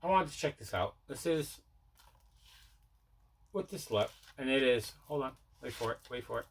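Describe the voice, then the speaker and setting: A man's voice: mid-range voice, lively intonation, moderate pace, breathy edge. A man hosting a collecting show, chatting casually as he shows off what he picked up. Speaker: host of a collecting video show. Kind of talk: casual unboxing chat.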